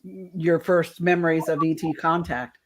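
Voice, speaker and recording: A woman's voice: deep voice, fairly even intonation, a little slow. A woman interviewing a guest casually as the host of a talk radio show.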